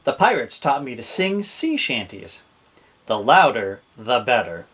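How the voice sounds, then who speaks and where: A man reading a children's story aloud as an expressive storyteller. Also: medium pitch, measured pace, slightly rough.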